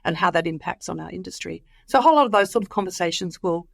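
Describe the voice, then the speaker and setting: A woman's voice: on the low side, animated, quick pace, vocal fry, dry recording, studio quality. A woman, recovering from the flu so her voice comes and goes, chatting enthusiastically as a guest about a conference. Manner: enthusiastic, rambling with many fillers. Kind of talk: casual interview chat.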